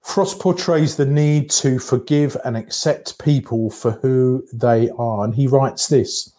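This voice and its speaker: A man giving a reflective sermon in a measured, contemplative way, breaking his thoughts into short phrases. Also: mid-range voice, warm tone. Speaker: preacher.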